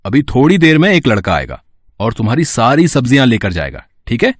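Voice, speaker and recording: A man's voice: medium pitch, wildly swooping pitch, brisk, dry recording, studio quality. A man playing a kind young man in an audio story, caring and reassuring like a big brother. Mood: brisk, reassuring. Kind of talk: acted audio story dialogue.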